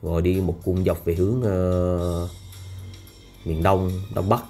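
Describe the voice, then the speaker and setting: A man's voice: sits low, flat delivery, slow, mellow. A man chatting casually as a food and travel vlogger while riding through city streets at night, hesitant with many fillers. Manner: chatty, hesitant with frequent fillers, lists places. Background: street music.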